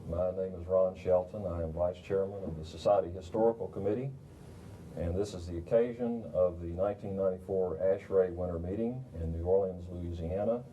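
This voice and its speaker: An older man moderating a roundtable in a formal, measured, somewhat halting way. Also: lo-fi sound, slight background noise. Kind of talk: formal, informally unscripted introduction. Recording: rumbly 1990s video recording.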